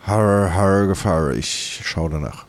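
Man putting on a deep bewildered pirate crewmate. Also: slow pace. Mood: stunned, obedient. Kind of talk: improvised audio adventure role-play.

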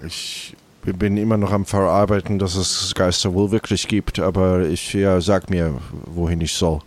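A man's voice: sits low, flat delivery, moderate pace, pitched below the performer's own voice, natural voice. A man voicing a bewildered but obedient male pirate crewmate in an improvised audio adventure. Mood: stunned, obedient.

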